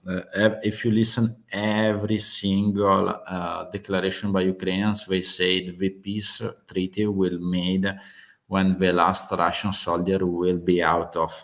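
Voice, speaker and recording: Slow, deep-voiced Italian-accented man. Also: flat delivery, fairly bright tone. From Italy. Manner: halting, with many uh fillers and restarts. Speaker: host of live geopolitics chat. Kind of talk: casual live-stream talk.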